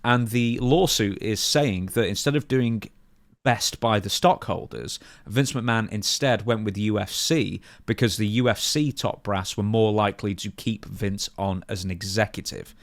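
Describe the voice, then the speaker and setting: Guy w a low voice doing podcast news and chat, really dry close sound. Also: moderate pace, dry recording. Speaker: podcast co-host reading news and asking questions. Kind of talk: news reading mixed with casual chat.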